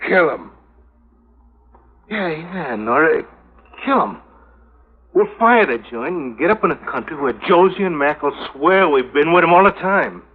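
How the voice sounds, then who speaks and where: Through a very thin old radio band comes a small-time crook. He is anxious and scheming, urgent and stammering, his pitch jumping about. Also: expressive, measured pace, long pauses. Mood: eager, scheming. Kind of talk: 1950s radio drama acting. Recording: narrow-band 1950s radio recording.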